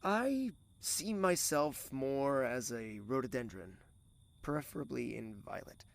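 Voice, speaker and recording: An actor playing a gentle, wistful young man in an audio drama, soft-spoken and teasing. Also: medium pitch, lively intonation, slow pace, vocal fry. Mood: playful, tender.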